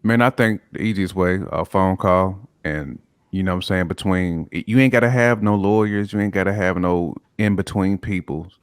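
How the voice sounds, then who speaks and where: A man's voice: low voice, normal speed, hardly any pauses, clean tone. An American man co-hosting a hip-hop podcast in casual, slangy talk, repeating phrases for emphasis. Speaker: podcast co-host.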